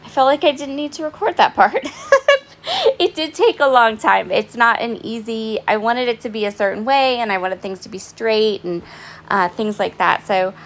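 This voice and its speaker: A woman giving a casual, chatty voice-over of her craft project at home, her voice raspy and hoarse and she often pauses with "um". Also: on the high side. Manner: chatty and apologetic, with frequent "um"s. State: hoarse, voice partly fading. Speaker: craft vlogger. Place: at home, in bed.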